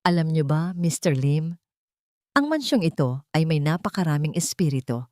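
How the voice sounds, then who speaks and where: A woman voicing an elderly wronged mother in an audio drama, defiant and speaking with slow, ominous deliberation. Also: low-pitched, animated, darkish tone, dry recording, studio quality.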